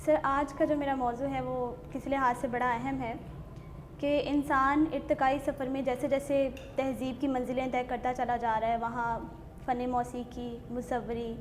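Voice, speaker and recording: High-voiced Pakistani woman presenting formally. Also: level tone, moderate pace, a little hiss or hum. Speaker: talk show host.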